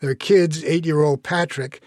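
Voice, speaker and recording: Man reading his short story aloud, voice a bit high, very dry studio-like sound. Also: fairly even intonation, measured pace, a little mellow, no echo. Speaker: author reading own story. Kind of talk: scripted short story narration.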